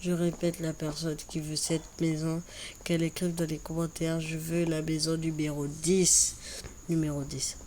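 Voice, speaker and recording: Woman w a cold, low slow voice, lotsa repeating, noisy room behind. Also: little pitch movement, a little mellow. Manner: slow, repeats words while building. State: has a cold, stuffy voice. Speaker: Minecraft YouTuber. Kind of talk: casual gameplay commentary.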